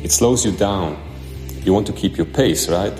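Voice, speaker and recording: A man from Switzerland giving an earnest, measured product pitch in a crowdfunding video. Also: darkish tone. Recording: roomy echo with background music. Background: music.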